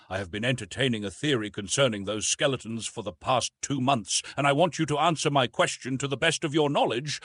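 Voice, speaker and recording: A man voicing an earnest, intense young jungle-raised hero in an audiobook, speaking gravely and formally. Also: mid-range voice, fairly bright tone, no echo. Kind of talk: audiobook character reading.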